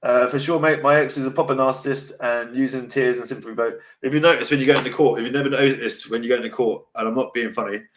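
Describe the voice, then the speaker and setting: A middle-aged man with a deep voice hosts a casual livestream talk, blunt, emotional and rambling. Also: steady tone, quick pace, hardly any pauses. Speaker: host. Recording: echoey room.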